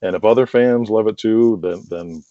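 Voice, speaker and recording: Low, mellow man in a roomy space. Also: normal speed, muffled recording. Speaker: podcast guest, wrestling promoter and radio drama creator. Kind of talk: casual interview conversation.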